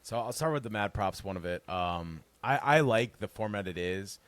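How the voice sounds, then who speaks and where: A man with a very deep bass voice, chatting casually as a podcast guest, rambling and relaxed with frequent laughs. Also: moderate pace, no echo. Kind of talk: casual interview chat.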